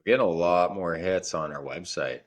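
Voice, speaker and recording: A man co-hosting a podcast about fatherhood, talking in a relaxed, rambling way with frequent restarts. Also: deep voice, a little fast. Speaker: podcast co-host. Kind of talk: casual podcast chat.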